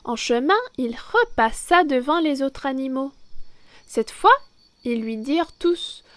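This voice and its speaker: A woman reading a children's picture book aloud, deliberate and theatrical, stressing key words. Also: high-pitched, expressive, no echo. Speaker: children's story reader, French teacher.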